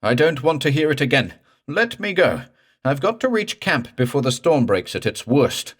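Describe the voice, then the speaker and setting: A man's voice: medium pitch, sing-song, moderate pace, voice pushed up. A man voicing a terrified man in a boat whose voice goes raw and screeching with panic. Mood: frantic.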